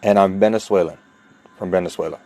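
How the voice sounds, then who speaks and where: A man from New York with a lowish voice talks straight into the camera. He speaks in slow, emphatic phrases, stopping between words to stress them, and presses his points earnestly by repeating them. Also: steady tone, warm tone. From New York, USA. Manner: deliberate, emphatic, with long pauses between phrases. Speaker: vlogger telling his own story. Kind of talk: personal monologue to camera.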